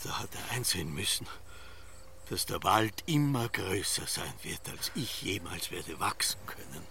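A brooding, obsessed Austrian farmer. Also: male voice, low voice, big pitch swings, a little slow, vocal fry, pushed and bright, slight background noise, full character voice. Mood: brooding recollection. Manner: brooding, incantatory, repetitive. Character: obsessed, embittered farmer father, a tinkering dreamer. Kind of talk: literary radio drama acting.

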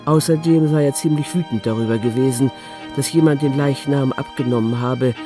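A man narrating a scripted audio drama in a measured, sober manner. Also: medium pitch, fairly even intonation. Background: faint music.

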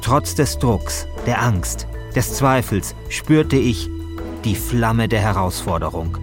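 A man voicing a brooding film-noir narrator, a tormented writer speaking in a portentous, deliberate, dramatic way. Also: lowish voice, expressive, music in the background. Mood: brooding, grave. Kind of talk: parody of film-noir crime radio narration.

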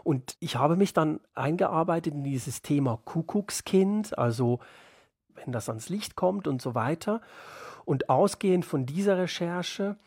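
A man's voice: on the high side, lively intonation, measured pace, a little mellow, slightly rough, no echo, studio quality. A man being interviewed as an author, talking thoughtfully and explaining as he searches for his wording. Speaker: interviewed radio play author. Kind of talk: reflective literary interview talk.

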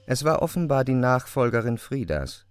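A man narrating a literary radio drama with measured, descriptive storytelling. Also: medium pitch, sing-song, clean tone, no echo, clean studio sound.

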